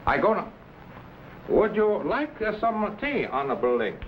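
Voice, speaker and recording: Scheming warlord, swooping stilted delivery. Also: male voice, sits high, very animated, unhurried. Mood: resentful, determined. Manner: stilted, formal broken English. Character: resentful, scheming Chinese warlord, proud and formal. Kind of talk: vintage serial melodrama. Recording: dull, faintly noisy old broadcast.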